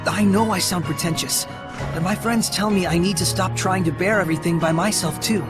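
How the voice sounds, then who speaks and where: A high-voiced young male hero from a fantasy game, acted by a man, compassionate and earnest. He speaks persuasively, with music clearly audible behind him. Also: brisk, natural voice. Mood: gentle, earnest. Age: young adult. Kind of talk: dramatic video game voice acting.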